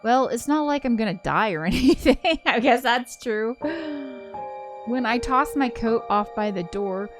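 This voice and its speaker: A woman's voice: a little high, measured pace, soft background music. A woman reading a visual novel aloud, slipping into casual, chatty commentary with giggly asides. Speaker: co-host of a gaming show.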